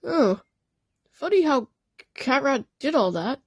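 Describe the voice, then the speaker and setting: Cartoon DJ cat, woman performer: chatty, coaxing, relaxed manner, high animated voice. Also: slow pace, no echo, clean studio sound, heavily acted. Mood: bemused. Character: chatty, probing cartoon DJ cat, casual and teasing.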